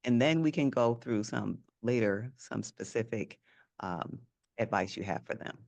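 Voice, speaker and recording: A woman's voice: rumbling low, lively intonation, unhurried, gravelly, darkish tone. Friendly woman podcast host. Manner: friendly, restarts and rephrases often. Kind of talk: podcast interview.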